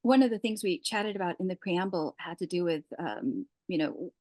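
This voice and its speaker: A woman's voice: on the low side, lively intonation, normal speed, darkish tone, no room sound. A woman hosting a podcast interview, gracious and affirming as she asks long questions. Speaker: podcast host.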